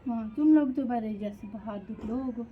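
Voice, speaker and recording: A woman's voice: on the high side, moderate pace. A woman joining in a casual family conversation. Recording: dull home recording, faint noise.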